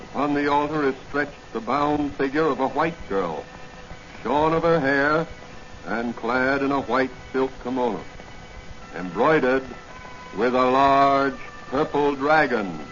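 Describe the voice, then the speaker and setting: An actor narrating a radio mystery serial in a solemn, suspenseful 1940s announcer style. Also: male voice, medium pitch, fairly bright tone, natural voice. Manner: dramatic, measured, suspenseful. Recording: muffled 1940 radio recording. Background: dramatic music.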